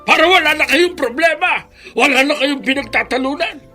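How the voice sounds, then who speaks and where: A man's voice: very high voice, normal speed, bright, forceful tone, soft background music, heavily acted. An older man with a Filipino accent playing a stubborn, self-pitying, sickly elderly father in a radio drama. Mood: bitter outburst. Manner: obstinate, self-pitying, complaining.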